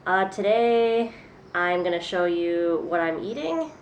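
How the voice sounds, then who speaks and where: A young woman talks in a casual, upbeat way, her voice a little stuffy from congestion. She sits slightly low in pitch and laughs easily between sentences. Her camera mic picks her up in a small apartment kitchen with a faint hum behind her. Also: lively intonation, unhurried. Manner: chatty, upbeat, laughs often. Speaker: vlogger. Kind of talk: casual cooking vlog.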